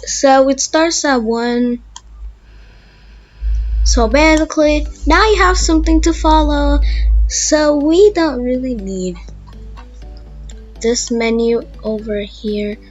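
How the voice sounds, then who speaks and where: A woman with a fairly high voice talks through a casual software tutorial at a very slow pace. She thinks aloud informally as she demonstrates each step. The sound is slightly muffled and lo-fi, with music playing behind her. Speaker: tutorial host. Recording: dull lo-fi mic, background music.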